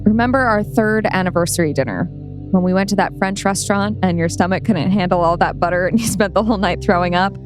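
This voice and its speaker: A woman playing a warm, playful wife who is a brain researcher, in a script read-through. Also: mid-range voice, quick pace, natural voice. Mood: calm, coaxing. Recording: music playing behind.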